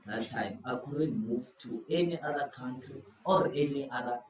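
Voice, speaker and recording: A man hosting a casual football quiz, giving slow, playful clues to his friends. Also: mid-range voice. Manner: playful, drawn-out clue-giving. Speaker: quiz host. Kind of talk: casual group chat, posing football riddles. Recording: echoey room, far from the mic, faint noise.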